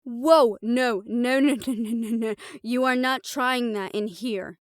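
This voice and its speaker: A woman's voice: fairly high pitch, slow pace, dry recording, clean studio sound. A woman playing a sarcastic, emphatic young siren who is easily exasperated, in a fantasy audio roleplay. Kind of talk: dramatic audio roleplay acting.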